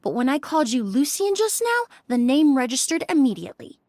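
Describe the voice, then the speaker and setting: A woman voicing a composed, shrewd young perfumer in a video game, speaking in a measured, formal, courteous manner. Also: high-pitched, big pitch swings, a little mellow, no echo. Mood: probing, confident. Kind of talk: scripted video game dialogue.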